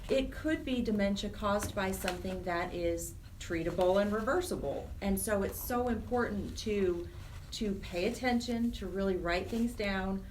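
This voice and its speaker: Female radio interview guest, mid-pitched, earnest and explanatory, rephrases as she goes, background noise. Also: moderate pace, rough recording. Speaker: guest, charity program director.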